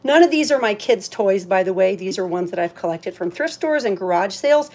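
A woman's voice: mid-range voice, expressive, a little fast, a little mellow. A woman hosting a live auction in casual, chatty talk, thinking aloud as she handles items. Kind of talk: casual livestream sales chat.

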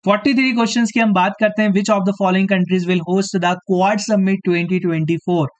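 A man teaching a live online exam-prep quiz, slightly hoarse, clearing his throat now and then and tagging his sentences with a question of agreement to his students. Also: high voice, fairly even intonation, unhurried. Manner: repeats "theek hai" as a tag, addresses students directly.

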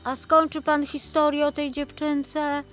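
A woman's voice: high-pitched, level tone, measured pace, a bit bright, soft background music, pitched above the performer's own voice. A woman voicing a curious, wide-eyed young girl in a children's storybook, eager and full of questions.

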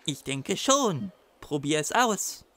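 A man's voice: on the high side, sing-song, slow pace, noisy background. A man voicing an eager young boy who is a wizard's apprentice in a children's audio drama, patiently explaining things. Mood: encouraging. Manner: helpful, explaining.

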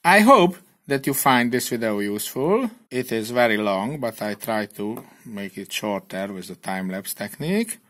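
Hungarian-accented man in a mid register, plain and a little halting, walking viewers through a kit build. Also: mid-range voice, animated, fairly bright tone. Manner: plain, slightly halting non-native English. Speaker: electronics kit reviewer. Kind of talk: hobby electronics tutorial voice-over.

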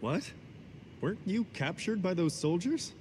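Breezy, animated young male hero. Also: high-pitched, measured pace, a little hiss or hum. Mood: concerned, casual. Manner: breezy, expressive, informal. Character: easygoing, heroic young man, breezy adventurer. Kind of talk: video game cutscene acting.

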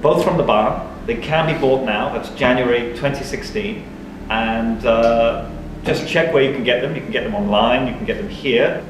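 A man's voice: medium pitch, moderate pace, hardly any pauses, noisy background. A young man hosting a casual coffee tasting in a small cafe, enthusiastic and thinking aloud, recorded by a far camera mic. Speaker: coffee reviewer and show host. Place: dim cafe interior, in a small room. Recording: roomy, distant, with music behind. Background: background music.

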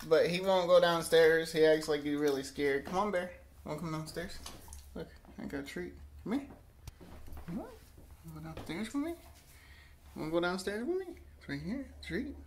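Higher-voiced man chatting casually, slight noise. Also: animated, normal speed, long pauses. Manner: coaxing, repetitive questions to his pet. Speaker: pet vlogger. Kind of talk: casual chat to camera and dog. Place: indoors at home, hallway and carpeted room. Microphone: handheld phone or camera behind the lens. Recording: noisy amateur home recording.

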